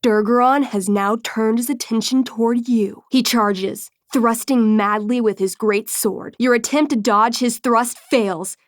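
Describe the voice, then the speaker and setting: A woman's voice: fairly high pitch, steady tone, a little slow, few pauses, no echo, clean studio sound, natural voice. A woman playing a wry teenage game master, closely recorded. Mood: dramatic, suspenseful. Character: friendly, theatrical teenage girl running a tabletop fantasy game. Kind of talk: dramatic tabletop storytelling and casual chat.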